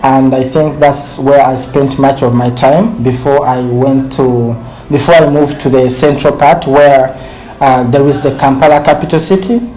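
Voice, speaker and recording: A man's voice: medium pitch, steady tone, a little slow, dark tone, faint music behind, rough recording. A man with a Ugandan accent, from western Uganda, hosting a casual group interview in loose, repetitive phrasing. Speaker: host.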